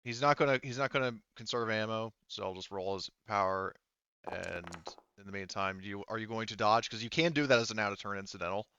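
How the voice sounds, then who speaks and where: A man's voice: fairly low pitch, brisk, sharp tone, clear, resonant tone, no room sound, muffled recording. A man casually refereeing a tabletop game as game master, thinking aloud in an informal way.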